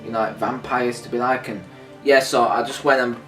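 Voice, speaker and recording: A man's voice: medium pitch, fairly even intonation, brisk, fairly bright tone. A man hosting an unscripted, casual review chat as the presenter of a video. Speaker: video host. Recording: roomy echo, distant mic, music.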